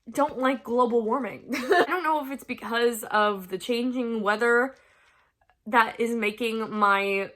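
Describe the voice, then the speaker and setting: Young woman, stuffed-up nose, casual home chat, bright tone, laughs a lot. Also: a little high. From United States. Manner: chatty and self-deprecating, laughs often. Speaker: crafting vlogger. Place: her bedroom at home.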